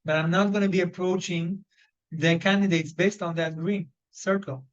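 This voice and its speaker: A man's voice: high-pitched, fairly even intonation, moderate pace, dull audio. A man with an Israeli accent leading a live online job search training. He talks loosely and conversationally, with many fillers and restarts. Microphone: video call.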